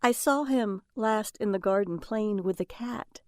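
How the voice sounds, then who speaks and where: An actress playing a sharp-tongued, easily distraught housewife and mother in an audio drama. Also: mid-range voice, measured pace, dry recording, studio quality, lightly acted. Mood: distressed, accusing.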